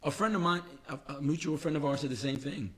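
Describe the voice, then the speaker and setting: A man's voice: fairly high pitch, fast, fairly bright tone. New man in his forties, chatting reflectively. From Queens, New York, United States. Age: 40s. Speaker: podcast co-host. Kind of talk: casual podcast conversation.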